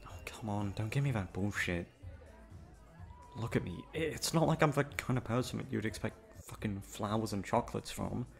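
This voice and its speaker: A man plays a young playboy in an ASMR roleplay. His voice sits low with a lot of gravelly fry, and his informal talk swings between cocky swagger and shy, halting fluster. Faint music plays behind him. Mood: flustered, self-doubting. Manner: informal, halting, tongue-tied around crush. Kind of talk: ASMR roleplay audio drama.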